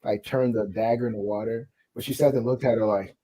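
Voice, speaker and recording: A man's voice: medium pitch, fairly even intonation, fairly quick, dark tone. A man co-hosting a casual movie review, talking in an offhand, slangy, irreverent way. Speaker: co-host of a movie review show. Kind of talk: casual panel chat.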